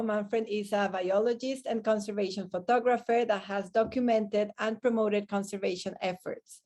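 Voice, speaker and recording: Female panel moderator, formal read introductions at a mid pitch. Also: a little mellow. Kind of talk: reading a speaker introduction.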